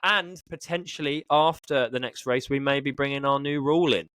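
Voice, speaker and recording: A man's voice: mid-range voice, steady tone, moderate pace, a bit bright, clear, resonant tone, no echo. A man co-hosting a sports podcast in a casual, chatty style. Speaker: podcast co-host.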